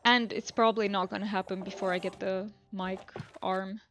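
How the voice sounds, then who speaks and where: A woman is live streaming a video game and chatting casually to her viewers. Her voice sits at a medium pitch, and her delivery is relaxed and rambling, often thinking aloud. Faint music plays behind her. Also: normal speed. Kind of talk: casual chat while playing a video game.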